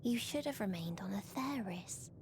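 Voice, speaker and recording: A woman playing a mournful, calmly merciless otherworldly being in a video game, speaking solemnly and breathily. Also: medium pitch, normal speed, background noise, natural voice. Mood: bleak resignation. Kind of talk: scripted video game voice acting.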